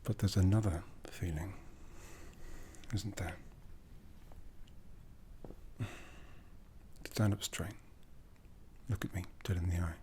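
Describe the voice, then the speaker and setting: A man playing an aloof, commanding aristocratic vampire in an ASMR roleplay, half-whispering slowly and deliberately with a breathy, seductive delivery. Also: low-pitched, steady tone, mellow, a little hiss or hum. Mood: seductive, intense. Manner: slow, deliberate, measured.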